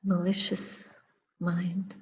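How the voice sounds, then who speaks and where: A woman, a Buddhist meditation teacher, giving a guided reflection in a contemplative, measured manner, in short phrases with long pauses. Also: fairly low pitch, completely flat delivery. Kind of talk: guided meditation and dharma talk. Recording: muffled recording with long reverberant echo.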